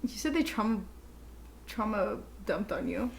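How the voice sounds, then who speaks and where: A young woman co-hosting a podcast, chatting casually in a halting, self-deprecating, informal way. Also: medium pitch, lively intonation, vocal fry, very noisy. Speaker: podcast co-host. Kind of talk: casual conversational chat.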